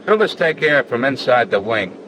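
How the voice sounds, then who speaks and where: An actor playing a patient, matter-of-fact flight instructor in a 1940s training film, measured and firm. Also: male voice, medium pitch, very animated, lightly acted. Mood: explanatory. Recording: 1940s film soundtrack with faint noise.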